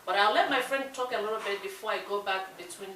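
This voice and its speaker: A woman's voice: medium pitch, fast talker, a bit bright, far from the mic. A Nigerian woman co-hosting a talk show speaks with earnest emphasis. She sits a little back from the mic in an echoey room with a faint hiss underneath. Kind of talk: casual discussion.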